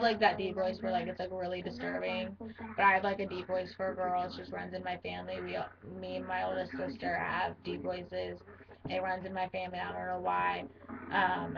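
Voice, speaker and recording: Teen girl vlogger, deep-voiced for her age, blunt and rambling, dull lo-fi home-video sound. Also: gravelly, far from the mic. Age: teens. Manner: blunt, rambling, lots of fillers. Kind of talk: casual talking-to-camera vlog.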